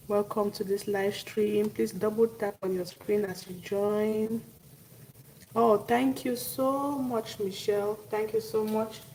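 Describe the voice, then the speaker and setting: A woman's voice: mid-range voice, creaky, darkish tone, distant mic, slight background noise, low-quality recording. A woman with a Cameroonian accent chatting casually as host of a cooking live stream, often repeating her phrases and addressing viewers directly. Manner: repeats phrases, thanks and greets viewers by name.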